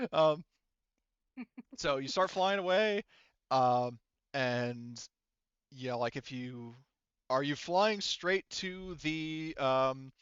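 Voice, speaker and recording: A man with a clear, smooth voice narrates a tabletop roleplaying game as game master. He is casual and sweary, often halting as he improvises, and the dry recording sounds slightly dull. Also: mid-range voice, a bit bright, no room sound.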